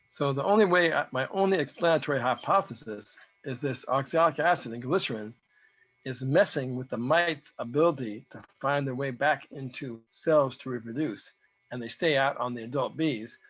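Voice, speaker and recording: A man with a hoarse voice gives an informal, halting technical presentation, explaining data as a researcher. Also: medium pitch, fairly bright tone. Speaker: presenter, researcher giving a talk to beekeepers. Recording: dull, lo-fi audio.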